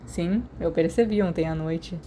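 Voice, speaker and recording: A woman's voice: lowish voice, moderate pace, warm tone, close to an everyday voice. Storybook role: teasing Brazilian teen boy in love, voiced by a woman, noisy recording. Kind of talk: acted storybook dialogue.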